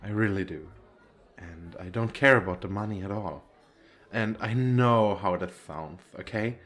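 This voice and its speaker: A man's voice: on the low side, unhurried, fairly bright tone. A man acting a shy, nervous, wealthy businessman on a speed date in an ASMR roleplay, talking in a hesitant, rambling, self-deprecating way. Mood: sincere, self-conscious. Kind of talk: ASMR roleplay, intimate flirty chat.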